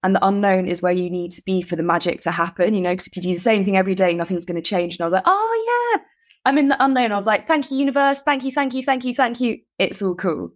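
A woman, a guest artist, telling her story in a casual interview and acting out her own past thoughts with lively energy. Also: mid-range voice, quick pace.

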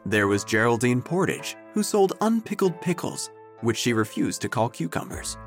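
A man narrating a scripted audio drama in a measured, theatrical, dryly whimsical storytelling manner. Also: mid-range voice, sing-song, soft background music.

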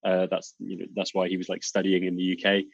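Deep husky British man, dull lo-fi sound. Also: completely flat delivery, fast. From UK, South Wales. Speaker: guest, fitness instructor. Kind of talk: casual interview chat. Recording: dull, lo-fi dry recording.

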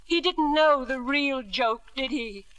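Grieving mother, slow measured delivery, bright edge, sardonic, unsteady, vintage radio drama. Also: high voice, lively intonation, heavily acted, poor audio. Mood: bitter mocking. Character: bitter grieving wife and mother, unsteady, mocking. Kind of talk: 1940s-50s radio drama acting.

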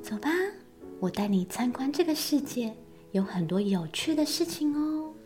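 Woman as caring sister, high-pitched, soft, reassuring, storybook tone, music behind. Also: expressive, moderate pace, close to an everyday voice. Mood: gentle reassurance. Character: gentle, caring twin sister in a fantasy world.

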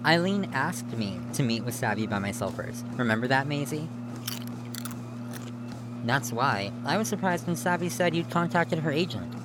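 A woman's voice: very deep voice, lively intonation, normal speed, over music, low-quality recording. A woman playing a domineering, smugly patronizing senior TV producer in a naturalistic audio drama. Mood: brusque.

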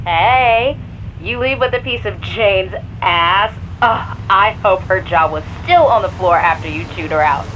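A casual, sassy younger woman acted for a fiction podcast speaks in a medium-pitched voice with faint hum behind. She is a blunt, loyal employee and friend on a call. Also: measured pace, fairly bright tone, close to an everyday voice, poor audio. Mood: sassy, supportive. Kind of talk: audio drama phone dialogue.

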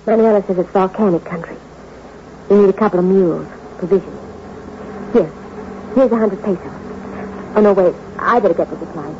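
An actress playing a scheming, seductive femme fatale hungry for gold, with a coaxing, slangy delivery in a 1960s radio suspense play. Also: female voice, mid-range voice, a little fast, pauses often, natural voice. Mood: businesslike. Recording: muffled, slightly noisy old radio recording.

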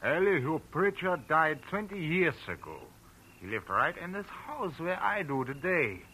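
Animated, excitable country man. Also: fairly high pitch, unhurried, husky, full character voice. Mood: animated, explanatory. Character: excitable, helpful rural male householder with a rifle. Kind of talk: 1940s radio drama acting. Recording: noisy old-time radio recording.

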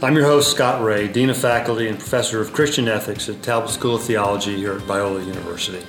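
A man's voice: lowish voice, fairly even intonation, fast, a bit bright, faint music behind. A man hosting a faith podcast, a professor who asks questions in a friendly, conversational way with some hesitations. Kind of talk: podcast interview. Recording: roomy sound with faint ambient noise.

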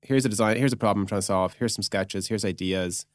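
Male podcast guest, lowish pitch, rapid informal explaining, dry studio-close sound. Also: no room sound. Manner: informal, peppers speech with "like" and "you know". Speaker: podcast guest, product executive. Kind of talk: casual explanatory chat.

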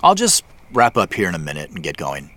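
A man's voice: deep voice, wildly swooping pitch, fairly quick, bright, forceful tone, full character voice. An actor playing an evasive, polite man caught snooping, hesitant and apologetic, in an audio drama. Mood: uneasy, defensive.

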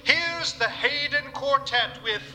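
An actor playing a genteel, folksy old-time radio announcer with a courtly, deliberate delivery. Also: male voice, extremely high pitch, bright, forceful tone, a little hiss or hum, exaggerated voice. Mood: cheerful, courtly.

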